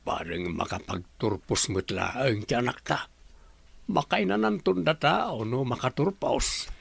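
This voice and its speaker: A man with an Ilocano Filipino accent playing an ailing, worried older husband and father in a radio drama, with a husky, gravelly voice. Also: medium pitch, expressive, measured pace, full character voice. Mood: weary, resigned.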